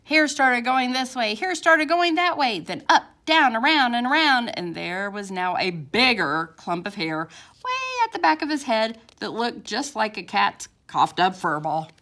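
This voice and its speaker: Animated, unhurried woman reading a children's story. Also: a little high. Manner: theatrical, expressive, drawn-out words and sound effects. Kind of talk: animated picture-book read-aloud for young children.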